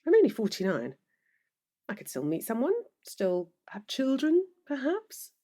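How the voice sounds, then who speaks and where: A woman's voice: a little high, wildly swooping pitch, measured pace, mellow, exaggerated voice. Audio drama role: anxious professional woman, forties, emotional, easily rattled, slightly needling, naturalistic delivery. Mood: wistful, unsettled. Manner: emotional, questioning, easily worked up. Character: anxious, wine-drinking middle-aged professional woman, wistful and needling.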